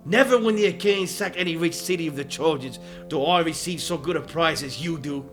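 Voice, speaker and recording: A man's voice: a little high, fairly quick, fairly bright tone, lightly acted. A man playing a proud, aggrieved Greek warrior hero in a dramatized audiobook, declaiming in archaic, formal speech over music. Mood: bitter, indignant. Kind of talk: dramatized audiobook acting of epic verse.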